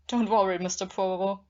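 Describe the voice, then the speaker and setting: A woman's voice: medium pitch, flat delivery, unhurried, darkish tone, lightly acted. A woman playing a bitter, obsessive young jilted woman with brittle politeness in an audio play. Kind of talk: amateur audio play acting. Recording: dull, muffled lo-fi.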